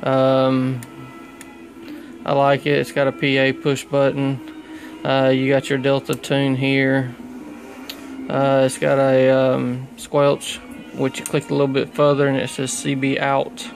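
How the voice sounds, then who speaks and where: A man giving a casual, unscripted review of his radio gear at home in a halting, plain-spoken way. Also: mid-range voice, completely flat delivery, gravelly, faint music behind. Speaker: radio hobbyist showing his equipment. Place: small indoor room at home.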